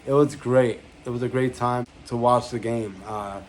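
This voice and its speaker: A man's voice: medium pitch, steady tone, moderate pace, slight background noise. A man in his thirties, born in Egypt, hosting his own channel and talking casually to camera in a rambling way with fillers and self-corrections. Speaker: channel founder and host. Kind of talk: casual vlog monologue to camera. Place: indoor room with a banner behind.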